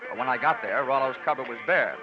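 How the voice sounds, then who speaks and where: A man's voice: mid-range voice, animated, fast talker. A man narrating a 1940s radio detective drama in a dry, wry first-person voice. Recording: dull old radio recording with music.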